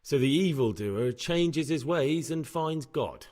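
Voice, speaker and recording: An actor playing a wary, stubborn undercover bounty hunter in a game cutscene, with a husky voice and blunt, terse delivery. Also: male voice, on the high side, lively intonation, slow pace, darkish tone, dry recording, studio quality. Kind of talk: dramatic game cutscene acting.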